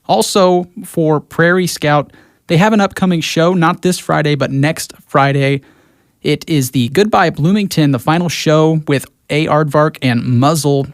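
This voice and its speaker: A man's voice: a little high, unhurried, no room sound. American male radio host, dry studio sound. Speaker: radio host of a local music show. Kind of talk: radio music show presenting.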